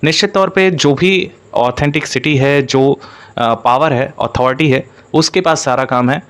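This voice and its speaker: A man's voice: mid-range voice, measured pace, fairly bright tone. A man speaks as an exam-coaching presenter, explaining an update to candidates and leaning on repeated stock phrases.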